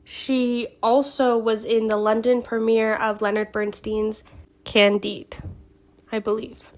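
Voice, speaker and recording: Higher-voiced woman with a lingering cold. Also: flat delivery, slow pace. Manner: chatty, earnest, reads facts with small fillers and restarts. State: getting over a cold. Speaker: YouTube host. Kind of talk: casual vlog narration of film history.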